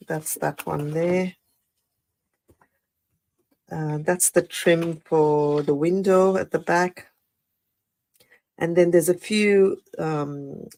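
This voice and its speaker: A woman with a croaky voice after the flu, chatting through a live card-making tutorial with many fillers and apologies. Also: deep voice, a little mellow. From Australia. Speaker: craft demonstrator.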